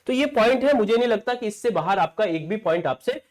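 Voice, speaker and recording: A man's voice: high-pitched, animated, fairly quick. A male exam coaching teacher lecturing in an explanatory, repetitive way, posing rhetorical questions and answering them himself.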